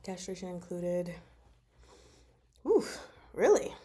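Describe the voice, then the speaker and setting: A woman hosting a casual book discussion livestream, chatting to viewers in an expressive, exclaiming manner. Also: on the low side, takes it slowly. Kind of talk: casual chat, discussing a novel with live viewers.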